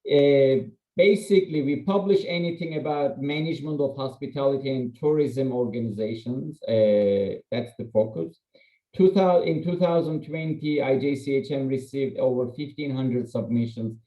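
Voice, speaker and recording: A man's voice: medium pitch, normal speed. Turkish-born man giving a webinar talk. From Turkey. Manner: candid, self-deprecating, conversational with frequent fillers. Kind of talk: academic webinar presentation. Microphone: computer mic on video call. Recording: echoey, slightly muffled video-call audio.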